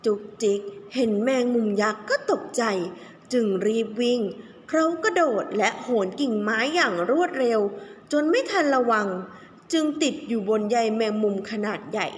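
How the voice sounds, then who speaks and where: Animated high-voiced woman telling children a story. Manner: expressive, drawn-out emphasis on key words. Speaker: kindergarten teacher telling stories. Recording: echoey room with faint background noise.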